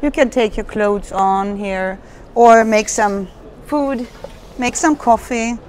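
A woman's voice: fairly high pitch, takes it slowly, a little mellow, a little hiss or hum. Woman explaining a caravan at a fair. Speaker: exhibitor presenting a caravan. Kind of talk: product demonstration. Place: trade fair hall, inside a caravan.

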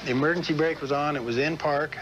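A man's voice: on the high side, fairly even intonation, quick pace, muffled recording. American man recalling events over music. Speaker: interviewee. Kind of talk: personal recollection in an interview.

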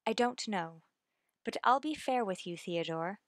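A woman playing an earnest, anxious woman in love with a widower, in slow, pleading, melodramatic old-time radio suspense style. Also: mid-range voice, animated, no echo, clean studio sound, natural voice. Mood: firm, distressed. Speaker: radio drama actor.

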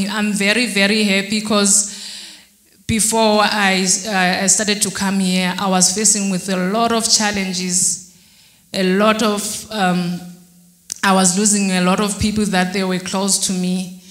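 A young woman tells her story slowly into a handheld microphone, hesitating and restarting as she goes. Her delivery stays flat and even. The sound is roomy, with the echo of a large hall. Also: mid-range voice. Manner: halting, hesitant, many fillers and restarts. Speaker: church member giving testimony. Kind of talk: testimony interview. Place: church hall backdrop, indoors. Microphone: handheld mic held by interviewer.

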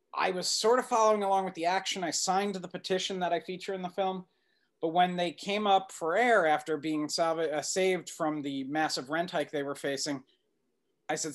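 A man's voice: on the high side, a bit bright. A middle-aged man, a documentary director, answering interview questions in a conversational storytelling way on a video call. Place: indoor room at home, video call.

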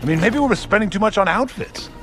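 An actor playing a vain, volatile cartoon villain warlord, sarcastic and swinging from casual chat to outrage. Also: male voice, on the low side, big pitch swings, fast, creaky, a bit bright, faint music behind, exaggerated voice. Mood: exasperated, outraged. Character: theatrical four-armed male villain warlord, vain and volatile. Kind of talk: comedic animated film acting.

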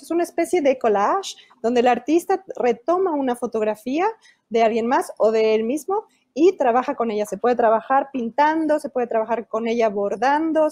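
A fairly high yet mellow-toned Mexican woman in her thirties, speaking with a Mexican Spanish accent. She talks animatedly, gesturing as she explains, in an interview over a video call. Her earphone mic gives a close, dry sound. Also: no room sound. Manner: animated, gestures while talking. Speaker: illustrator and children's author. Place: indoor room at home. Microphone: earphone headset mic on a video call.

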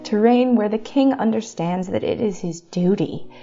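An actress playing a proud, outspoken young princess in an audio drama, earnest and declamatory, on a dull recording. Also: female voice, medium pitch, normal speed, warm tone, faint music behind, close to an everyday voice. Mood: weary, resolute.

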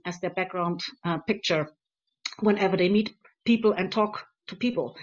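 A woman in her thirties talks through her slides in an earnest, encouraging way, pausing often on ums and uhs. Her voice sits at an ordinary middle pitch. The webcam audio is a little dull and lo-fi. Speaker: conference speaker on activism. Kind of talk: presenting slides in a webinar talk. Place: home room on a video call. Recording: slightly dull lo-fi video call audio.

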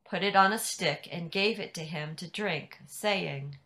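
Female scripture narrator. Bright, forceful voice, measured and unhurried delivery, home video-call sound. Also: lowish voice. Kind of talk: dramatic scripture reading.

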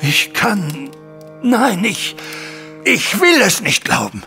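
An actor playing a distraught, wealthy older banker in a German audio drama, husky and agitated in his delivery. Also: high-pitched, big pitch swings, slow, sharp tone, soft background music, full character voice. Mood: shocked, pleading. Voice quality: husky, raspy.

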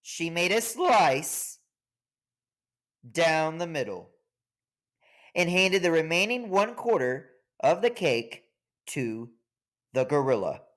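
A man reads a children's story aloud as narrator. He takes his time, and his pitch moves expressively up and down through each sentence. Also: very animated, takes it slowly, pauses often, fairly bright tone. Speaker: storybook reader.

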